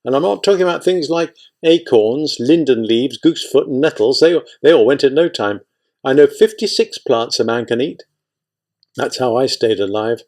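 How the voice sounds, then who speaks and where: A man's voice: mid-range voice, animated, moderate pace, a little mellow, close to an everyday voice. A man voicing a tall, thin old man, a former artillery soldier, talking in a blunt, boastful way.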